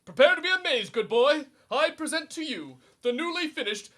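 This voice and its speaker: Casting: male actor as grandiose small-time superhero for radio comedy, animated, forceful tone, flustered under pressure. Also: extremely high pitch, moderate pace, exaggerated voice. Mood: proud, eager. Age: young adult. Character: pompous, needy, suburban amateur male superhero.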